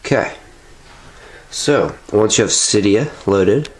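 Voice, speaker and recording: A man's voice: lowish voice, animated, takes it slowly, slight background noise. A young man with a stuffed-up nose from a cold, walking through a tech how-to in a loose, chatty way full of filler sounds, recorded indoors at home on a nearby camera. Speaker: tech tutorial host. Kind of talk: casual step-by-step how-to.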